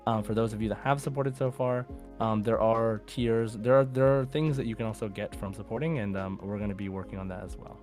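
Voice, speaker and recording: A man's voice: on the low side, brisk, a little mellow. Casual male podcast host with music behind.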